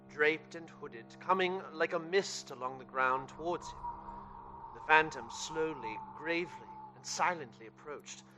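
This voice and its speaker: A man's voice: fairly high pitch, pushed and bright, low-quality recording. A man playing a storyteller, narrating a Victorian ghost tale in a dramatic, literary radio-play style.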